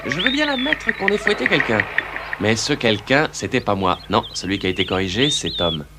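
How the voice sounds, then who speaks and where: A man's voice: fairly low pitch, big pitch swings, normal speed, heavy background noise. A man voicing a good-natured, obliging farm hand in a French cartoon dub, earnest and chatty. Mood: defensive, gossipy. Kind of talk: French dubbed cartoon acting.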